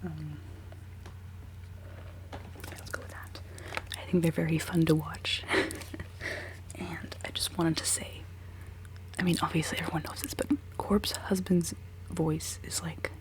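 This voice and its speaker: A woman's voice: little pitch movement, unhurried, long pauses, poor audio. A woman in her twenties whispering a rambling, giggly ASMR chat while she does her makeup. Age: 20s. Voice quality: decently low voice. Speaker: ASMR creator.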